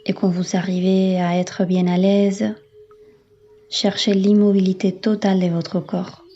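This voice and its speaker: Woman doing calm yoga nidra guiding, really slow n even, kinda dull muffled recording. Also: mid-range voice, a little hiss or hum. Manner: calm, soothing, unhurried instruction with long pauses. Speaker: yoga instructor. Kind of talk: guided meditation, yoga nidra.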